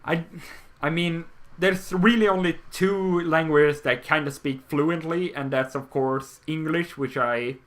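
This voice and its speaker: A man's voice: on the high side, measured pace, fairly bright tone. A man, casually answering viewer questions in a rambling way that keeps correcting itself. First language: Swedish. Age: 20s. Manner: rambling and self-correcting, with frequent restarts. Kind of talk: casual Q&A vlog.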